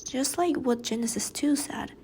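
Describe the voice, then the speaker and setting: A young woman whose first language is Chinese, reading a class presentation in a careful, measured way with light breathy passages. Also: high-pitched, lively intonation, darkish tone, slight background noise. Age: young adult. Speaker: student presenter. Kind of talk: read class presentation narration.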